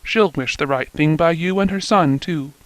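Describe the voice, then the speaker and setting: Old rustic father, slow, fond, country dialect, lower voice, dry sound, as a male reader puts him on. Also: no echo, lightly acted. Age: older.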